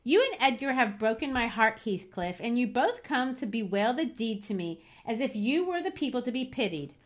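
A woman's voice: a little high, big pitch swings, moderate pace, voice pushed up. A woman voicing a passionate, reproachful dying young woman in a dramatic novel reading, emphatic and pleading. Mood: bitter reproach.

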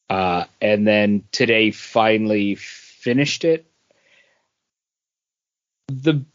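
A man co-hosting a podcast, talking casually and haltingly as he gives a review. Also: mid-range voice, muffled recording. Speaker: podcast co-host reviewing comics. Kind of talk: casual talk, book review.

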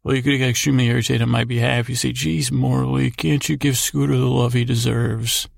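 Male bedtime podcast host: heavy vocal fry, narrow pitch movement, halting and digressive delivery. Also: little pitch movement, no room sound. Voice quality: heavily creaky, gravelly. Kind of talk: rambling bedtime podcast monologue.

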